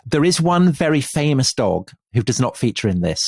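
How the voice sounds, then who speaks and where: A man co-hosting a history podcast, wry and lively, with frequent ums and restarts. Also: medium pitch, moderate pace, vocal fry, no room sound, clean studio sound. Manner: wry, hesitant with fillers, lively. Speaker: podcast co-host. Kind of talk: casual history podcast chat.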